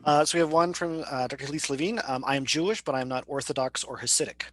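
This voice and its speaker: A man moderating an online workshop, reading chat messages aloud in a halting way, full of ums and uhs, over a video call. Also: medium pitch, noisy background.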